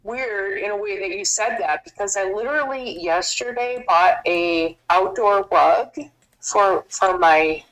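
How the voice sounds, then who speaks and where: A woman's voice: medium pitch, unhurried, fairly bright tone, poor audio. A woman calling in for a reading, talking casually about personal matters in a hesitant, reflective way. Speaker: caller receiving a reading.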